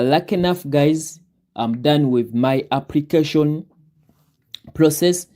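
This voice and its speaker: A young man with a Ugandan accent, a guest recruit talking deliberately through his advice with many fillers. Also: medium pitch, warm tone. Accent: Ugandan English. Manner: deliberate, breaks words down slowly with many fillers. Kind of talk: advice talk on a live Q&A.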